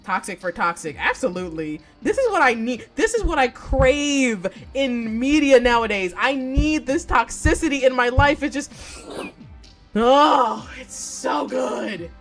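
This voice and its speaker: Animated, sweary woman livestreamer over music. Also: high-pitched, unhurried. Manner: animated, exclamatory, slangy and profane. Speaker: live streamer playing a visual novel. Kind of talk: casual game livestream commentary.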